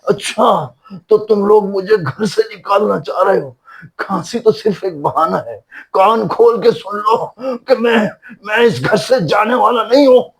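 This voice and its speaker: A man playing an ailing, stubborn and pious elderly father in a moral short drama, with a husky, gravelly voice. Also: sits high, unhurried, full character voice. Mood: stern, defiant. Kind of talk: Urdu moral short-film acting.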